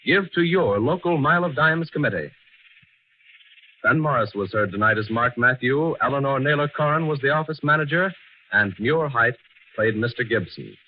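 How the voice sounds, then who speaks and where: A man's voice: medium pitch, expressive, moderate pace. An American man reading a scripted sign-off in a formal 1940s radio announcing style. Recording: slightly muffled vintage radio broadcast recording.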